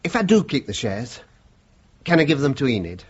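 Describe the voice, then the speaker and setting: A man's voice: medium pitch, big pitch swings, brisk, breathy edge, no echo. An actor playing a plain-spoken, loyal middle-aged chauffeur and father with a southern English working-class accent, in a radio drama. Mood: polite, deferential. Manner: deferential to employer, firm with son. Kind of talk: British radio drama acting. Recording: muffled, noisy vintage radio recording.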